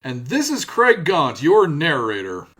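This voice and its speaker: A man's voice: fairly high pitch, huge pitch swings, measured pace, a bit bright, faint music behind. A man giving dramatic, tongue-in-cheek scripted narration for a comedy.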